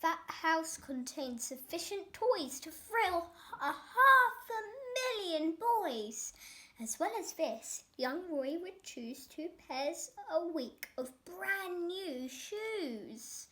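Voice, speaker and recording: High-voiced child storyteller, very animated. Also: slow pace. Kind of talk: expressive reading of a rhyming story.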